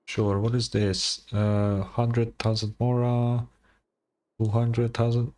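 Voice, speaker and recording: A man commenting casually on a game he is streaming. He talks very slowly in a mid-pitched voice, muttering offhand reactions. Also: flat delivery, a little mellow.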